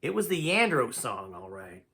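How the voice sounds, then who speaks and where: A man's voice: medium pitch, big pitch swings, moderate pace, bright, forceful tone. A man reading a first-person tale aloud in a dramatic, folksy storytelling manner. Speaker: amateur audiobook reader.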